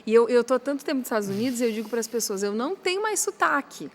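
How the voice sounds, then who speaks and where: A woman with a gaúcho accent from southern Brazil, hosting a podcast interview in a lively, chatty way. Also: on the high side, very fast, a little hiss or hum. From Rio Grande do Sul, Brazil. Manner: lively, chatty, warmly admiring of the guest. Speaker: podcast host.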